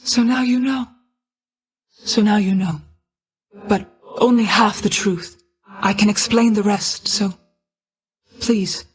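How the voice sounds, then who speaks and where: A woman voicing a regal, ageless, motherly goddess of night in a video game, speaking in formal, measured, archaic phrasing. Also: medium pitch, steady tone, breathy edge. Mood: grave, sorrowful. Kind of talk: scripted video game voice acting.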